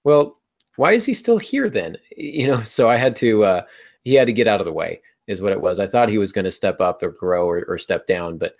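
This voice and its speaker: A middle-aged man with a low voice talks casually about his craft. He is somewhat hesitant and thinks aloud as he goes, speaking over a video call from a home room. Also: animated, a little fast, a little mellow. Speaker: podcast host and novelist. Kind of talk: casual writing-advice discussion.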